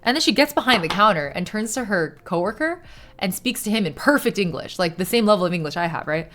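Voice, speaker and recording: A woman's voice: medium pitch, animated, quick pace, fairly bright tone. A woman, a Shanghainese speaker, chatting casually while she crafts on stream. She explains things at length, with lots of "like" and some casual swearing.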